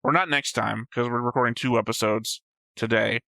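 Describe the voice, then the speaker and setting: A man's voice: medium pitch, steady tone, fairly quick, gravelly, pushed and bright, dry recording. A man co-hosting a reaction show, picking apart points in casual debate with a precise, argumentative manner. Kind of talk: casual debate about an animated series.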